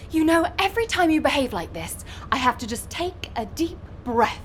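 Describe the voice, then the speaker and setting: A woman voicing a cheerful, talkative young female soldier in a video game, teasing and expressive. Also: high-pitched, normal speed, a little hiss or hum. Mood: exasperated. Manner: chatty, playfully exasperated.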